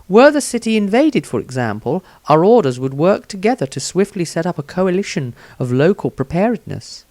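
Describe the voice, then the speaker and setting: A man voicing an earnest woman of an order in a fantasy story, with formal, measured speech. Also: sits high, dry recording, pitched above the performer's own voice. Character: earnest female member of an order.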